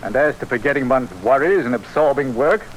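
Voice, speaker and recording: A man in an old radio drama playing a brisk, kindly, excitable police inspector with a British accent. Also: mid-range voice, lightly acted, low-quality recording. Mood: affable. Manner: brisk, exclamatory. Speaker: actor in a radio thriller. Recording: old radio recording, some noise.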